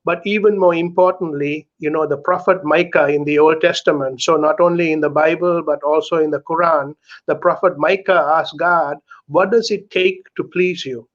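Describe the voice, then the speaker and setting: Middle-aged guy from sri lanka on a video call, earnest, keeps saying "right?", a bit high, muffled dull sound. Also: steady tone, moderate pace. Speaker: author and guest. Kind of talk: candid conversational interview talk.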